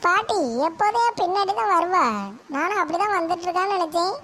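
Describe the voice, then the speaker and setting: An actor playing a mischievous schoolboy grandson, making excuses and muttering asides. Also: male voice, extremely high pitch, steady tone, a little fast, bright, forceful tone, a little hiss or hum, full character voice. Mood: cheeky.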